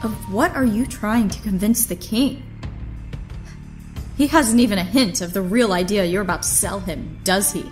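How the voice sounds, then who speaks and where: An actress playing a defiant, idealistic young niece in an audio drama, earnest and indignant. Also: female voice, medium pitch, normal speed, soft background music, close to an everyday voice. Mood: accusing.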